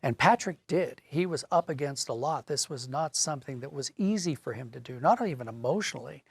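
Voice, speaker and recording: A man's voice: medium pitch, animated, brisk, gravelly, breathy edge, no echo. A man giving thoughtful, explanatory answers as a conversational interview guest. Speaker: interview guest, audio drama writer.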